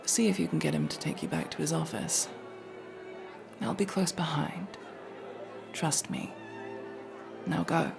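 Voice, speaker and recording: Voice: mid-range voice, expressive, unhurried, gravelly, long pauses. A performer voicing a guarded, sardonic masked elf rogue in a casual ASMR roleplay, speaking to the listener with hesitant asides. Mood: focused, conspiratorial. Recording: music playing behind the voice.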